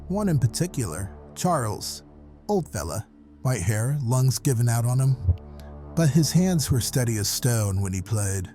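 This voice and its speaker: A man's voice: medium pitch, very animated, a little slow, mellow, soft background music. An actor playing a folksy, wry hospital janitor who calls in and tells his story matter-of-factly in a horror audio drama. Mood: brooding, storytelling. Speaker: caller.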